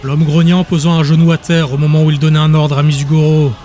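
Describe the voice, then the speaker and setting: Higher-pitched man narrating a dramatic audiobook. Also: little pitch movement, fast talker, warm tone. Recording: music behind the voice.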